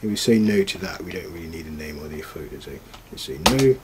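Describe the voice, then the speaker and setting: Male product demonstrator, fairly low voice, unscripted and hesitant, walking through steps over slight noise. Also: animated. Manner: halting, thinking aloud while operating the device. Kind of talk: informal screen tutorial, unscripted step-by-step demonstration.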